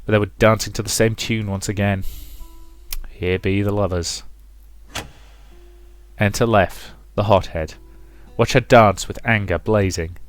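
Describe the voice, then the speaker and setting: A man's voice: lowish voice, steady tone, unhurried. A man hosting a let's play, reading a visual novel as dramatic, rhythmic narration with some commentary. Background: music.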